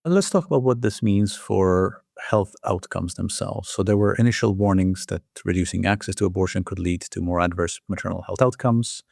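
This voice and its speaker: A man hosting a formal interview, speaking in measured phrases as he delivers scripted introductions and questions. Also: deep voice, creaky, darkish tone, no room sound, clean studio sound. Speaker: host and interviewer, journal editor.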